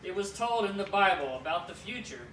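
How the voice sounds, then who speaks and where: A man's voice: high-pitched, animated, a bit bright. A man voicing a kindly, teacherly talking Bible puppet who explains things in a children's church show. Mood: friendly. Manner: didactic, measured explaining. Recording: echoey room, distant mic, faint noise.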